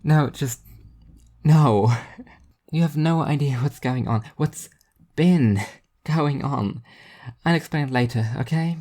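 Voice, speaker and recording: A woman voicing a weary, blunt but earnest devil in a halting, terse way, for a story reading. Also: low-pitched, mellow, voice pushed up. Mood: urgent.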